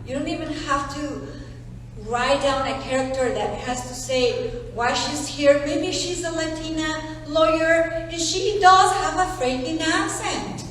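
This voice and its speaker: Woman, Mexican Spanish accent, emphatic panel moderator; slightly high voice, distant in a roomy hall. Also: slight background noise. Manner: animated, emphatic, quick asides. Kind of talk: panel discussion. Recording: echoey hall, far from mic.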